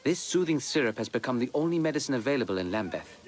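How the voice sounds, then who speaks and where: An actor playing a calm, courteous young informant for Victorian assassins in a video game, speaking in a measured, earnest way. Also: male voice, mid-range voice, a little hiss or hum, lightly acted. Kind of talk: video game cutscene acting.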